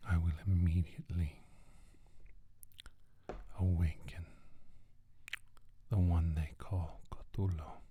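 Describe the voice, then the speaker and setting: A man playing a menacing alien scientist in an ASMR roleplay, speaking in a breathy, raspy near-whisper with slow, imperious deliberation. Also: deep voice, flat delivery, phone-quality sound, slight background noise. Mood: cold menace. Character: menacing alien scientist bent on destroying humanity. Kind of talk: soft-spoken ASMR roleplay monologue.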